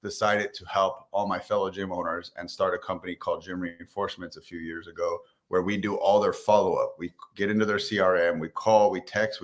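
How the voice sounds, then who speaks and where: A man speaking as a podcast guest, telling his own story in an upbeat, colloquial, confident way. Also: low voice. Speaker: podcast guest, fitness entrepreneur. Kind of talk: conversational personal storytelling.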